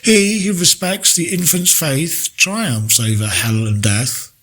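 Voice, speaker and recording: A man reading poetry aloud in a deliberate, measured way with pauses between phrases. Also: a little high, big pitch swings, fairly bright tone. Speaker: poetry reader. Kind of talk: poetry recitation, read aloud.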